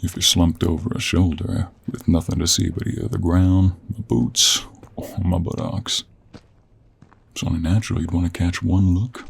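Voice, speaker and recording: A man playing a gruff, teasing cowboy monster hunter with a Western American drawl and a gravelly, husky voice, in an intimate audio roleplay. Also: deep voice, flat delivery, normal speed, heavily acted. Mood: teasing, easygoing.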